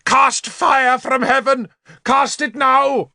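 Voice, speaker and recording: A man's voice: sits high, a little slow, fairly bright tone, dry recording, clean studio sound, pitched above the performer's own voice. A man voicing an indignant, pleading, melodramatic bishop in an audiobook story. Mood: horrified outrage. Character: shrill, sly, hysterically pious male bishop.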